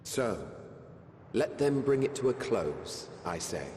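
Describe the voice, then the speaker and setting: A man's voice: medium pitch, big pitch swings, takes it slowly, dark tone, roomy sound, big-room sound, a little hiss or hum. An actor playing a wise male chronicler who narrates the close of a tale in a theatrical storyteller manner. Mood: reflective. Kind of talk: game cutscene narration.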